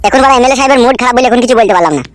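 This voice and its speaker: গ্রামবাংলার টানে একজন পুরুষ কার্টুনে এক মুখখারাপ, ফন্দিবাজ, খোঁচা-মারা চ্যালার গলা দিচ্ছে।. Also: male voice, very high-pitched, racing. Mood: scheming. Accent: rural West Bengali. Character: cheeky, scheming henchman, crude and foul-mouthed. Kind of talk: amateur comedy cartoon dub.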